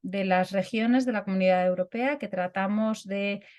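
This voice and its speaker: A woman's voice: mid-range voice, moderate pace, darkish tone. A woman giving a simultaneous interpretation of a speaker's talk over a video call, fluent but with frequent restarts and repeated words.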